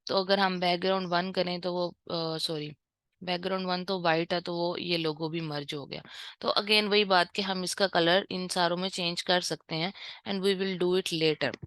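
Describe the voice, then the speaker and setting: A woman's voice: lowish voice, fairly even intonation, moderate pace, a bit bright, clear, resonant tone, dry recording. A woman teaching an online course, talking through a screen-share tutorial in an informal way and often checking in with "okay". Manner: informal, repeats "ठीक है" often, mixes in English terms.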